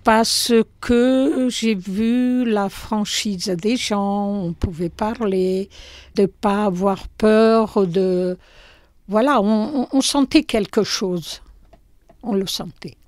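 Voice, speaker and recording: An older woman from Portugal with a Portuguese accent, interviewed in her small shop, speaking slowly and hesitantly with many fillers. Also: medium pitch, darkish tone, no room sound. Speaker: shopkeeper.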